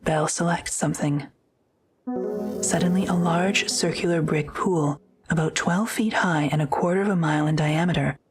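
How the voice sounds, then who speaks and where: A woman narrating a scripted audio drama in a measured, descriptive way. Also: low-pitched, husky.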